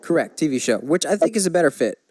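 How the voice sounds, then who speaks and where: A man's voice: fairly high pitch, very animated, fast, a little mellow. A man talking casually about movie news as a podcast host.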